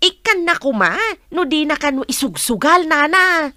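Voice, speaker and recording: A woman's voice: sits high, big pitch swings, moderate pace, pushed and bright, no room sound, clean studio sound, exaggerated voice. A woman playing a chatty, gossipy village neighbour in an Ilocano radio comedy drama. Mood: insisting.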